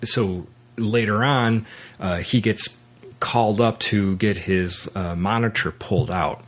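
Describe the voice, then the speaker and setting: A man with a low-pitched voice speaks as a podcast host. His delivery is casual and conversational, rambling a little and halting as he pieces his thoughts together. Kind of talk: casual film discussion.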